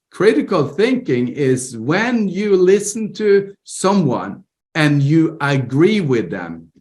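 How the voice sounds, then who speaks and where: A man with a Norwegian accent, co-hosting a podcast and explaining his views earnestly, often laughing. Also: a little high. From Norway. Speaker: podcast co-host. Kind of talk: casual conversation.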